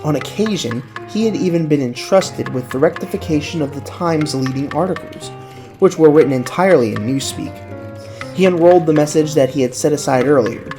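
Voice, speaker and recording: A man's voice: a little high, normal speed. A man reading scripted novel narration for a dramatized audiobook, with music playing behind him. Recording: clear music under the narration.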